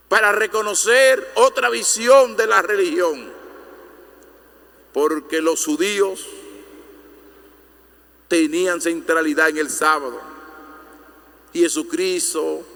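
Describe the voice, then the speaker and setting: A man's voice: a little high, pushed and bright. A man preaching a sermon in an emphatic, oratorical manner, using rhetorical questions and repetition. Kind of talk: Good Friday sermon. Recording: long echoing reverb of a large hall.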